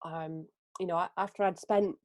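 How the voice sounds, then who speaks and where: A woman's voice: fairly low pitch, steady tone, normal speed, darkish tone, no echo, clean studio sound. A woman with an English Midlands accent, hosting a podcast and chatting through anecdotes. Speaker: podcast host. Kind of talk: casual podcast conversation.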